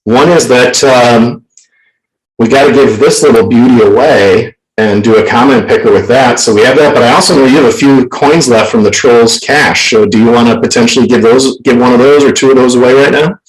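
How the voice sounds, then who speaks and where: A man with a loud voice hosting a casual live stream in a playful, showman-like way, close to the microphone. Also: medium pitch, a little fast, low-quality recording. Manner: playful showman, theatrical announcing. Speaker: show host. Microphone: close professional microphone on an online call.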